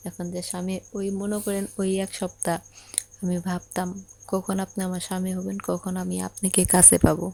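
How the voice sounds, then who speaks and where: A woman's voice: lowish voice, monotone, normal speed, vocal fry, warm tone. A woman acting a lovesick young bride in an audio drama phone call, coaxing and sulky, with a Bangladeshi accent. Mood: pleading, sulky. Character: lovesick young bride, yearning, insistent, devoted.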